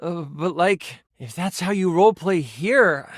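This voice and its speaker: Animated, earnest game master. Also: male voice, sits high, a little slow, dry recording, clean studio sound. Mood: excited, shy. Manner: theatrical, earnest, awkwardly gushing. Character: earnest, excitable nerdy game master, a living D20. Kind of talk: dramatic tabletop game narration and chat.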